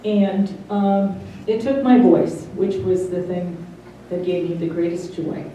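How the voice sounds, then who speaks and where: Slow, halting middle-aged woman, very mellow. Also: on the low side, fairly even intonation. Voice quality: careful over-articulated consonants after voice loss. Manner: slow and halting, many pauses. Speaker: interviewee. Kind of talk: personal testimony. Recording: roomy, distant mic, faint hiss.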